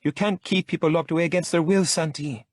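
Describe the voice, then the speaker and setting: A man's voice: a little high, steady tone, fairly quick, dry recording, clean studio sound, pitched above the performer's own voice, close to an everyday voice. A man voicing a defiant, exasperated young woman. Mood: angry. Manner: protesting, pleading. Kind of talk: audiobook character voice.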